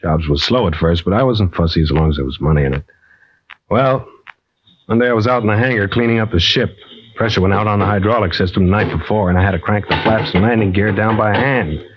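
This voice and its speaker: An actor playing a brash, impatient American ex-fighter pilot in slangy, clipped 1940s radio drama style. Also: male voice, lowish voice, quick pace, slightly rough. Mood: wry storytelling. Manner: clipped, slangy, tough-guy talk. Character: brash, impatient ex-fighter pilot running a shady air charter. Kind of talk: 1940s radio acting, hard-boiled first-person narration. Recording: dull, lo-fi old radio recording.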